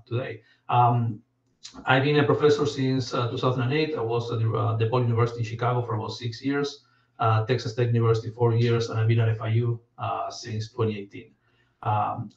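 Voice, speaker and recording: A man in his forties from Argentina, giving a relaxed academic talk with many fillers and restarts over a video call. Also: medium pitch. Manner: relaxed, frequent fillers and repeated words. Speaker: guest lecturer, professor. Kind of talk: casual academic presentation. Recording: distant, roomy, dull video-call audio.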